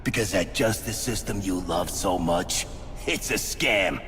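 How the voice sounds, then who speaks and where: An actor playing a cynical, menacing game villain with mocking, theatrical delivery. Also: male voice, low-pitched, measured pace, fairly bright tone. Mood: gloating, menacing. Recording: long reverberation with faint music.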